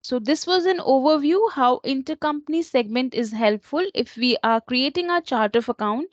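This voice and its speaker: A woman presenting an instructional slide lesson as a software trainer, explaining in a slightly halting way. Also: high-pitched, expressive, no echo. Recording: dull lo-fi close recording.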